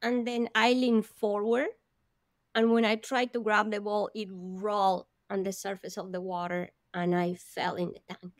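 Lady telling her story, animated, gasps and laughs, dry close sound. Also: mid-range voice, a little slow, no room sound. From Colombia. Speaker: guest. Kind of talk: storytelling in an interview.

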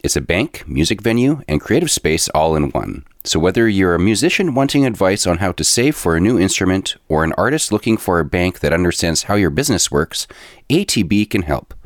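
Deep-voiced man reading an ad. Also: big pitch swings, a little fast, dry recording, clean studio sound. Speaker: ad announcer. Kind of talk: scripted ad read.

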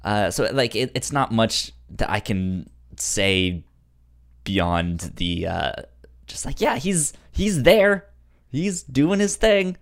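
A man's voice: mid-range voice, big pitch swings, slow. A man co-hosting a podcast in casual chat, stammering and repeating words as he goes. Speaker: podcast co-host.

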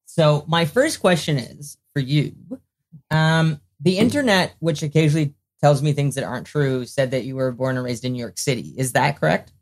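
A man's voice: fairly high pitch, normal speed. A man hosting a podcast interview, asking questions in a rambling, hesitant way. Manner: rambling, hesitant phrasing with restarts. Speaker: podcast host. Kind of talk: casual interview.

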